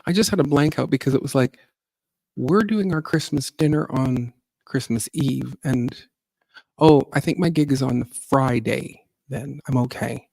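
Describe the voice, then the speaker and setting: A middle-aged woman with a low, deep voice, a singer hosting a casual livestream chat, rambling along with fillers and little laughs. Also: a little slow, vocal fry, dark tone, no room sound. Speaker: singer and gardening channel host.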